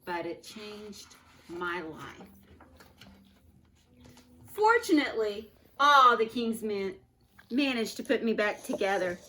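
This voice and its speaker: A teacher reading a picture book aloud to her students from home, with expressive delivery and gentle asides to the children. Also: mid-range voice, a little hiss or hum, low-quality recording.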